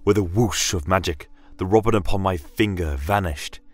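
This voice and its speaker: A man's voice: deep voice, normal speed, no room sound, soft background music. A man telling a scripted first-person fantasy story as its narrator.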